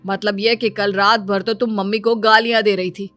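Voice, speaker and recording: A woman's voice: mid-range voice, level tone, moderate pace, fairly bright tone, soft background music, lightly acted. A woman voicing an exasperated young husband in a cartoon story, complaining and sarcastic. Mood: sarcastic, accusing.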